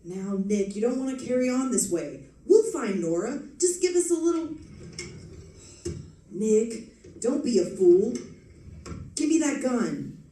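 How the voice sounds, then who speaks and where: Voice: medium pitch, big pitch swings, a little slow, long pauses, dark tone. A performer playing slangy characters in a hardboiled old-time radio crime drama, with emphatic, theatrical delivery. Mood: urgent, alarmed. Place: live stage performance, mic at some distance. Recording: roomy stage, distant from mic.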